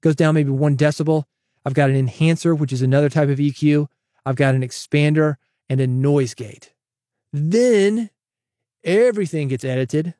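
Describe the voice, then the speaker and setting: A man hosting a solo podcast, coaching listeners in an energetic, direct way on a broadcast mic. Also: fairly high pitch, no room sound, clean studio sound. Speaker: podcast host. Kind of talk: solo podcast advice with sponsor read. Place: home studio, processed dry voice. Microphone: studio broadcast dynamic mic.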